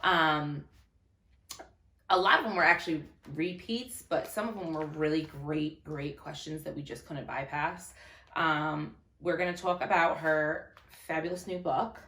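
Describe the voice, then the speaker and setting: A woman's voice: sits low, steady tone, unhurried, fairly bright tone, reverberant. A woman hosting an interview in an upbeat, chatty way. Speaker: interview host. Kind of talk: casual interview.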